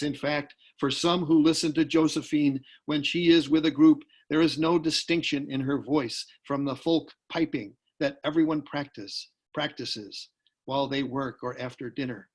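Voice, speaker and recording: A man speaking as a poet at a literary tribute over a video call, talking and reading aloud in an unhurried, deliberate way. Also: on the high side. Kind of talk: literary talk with poetry readings.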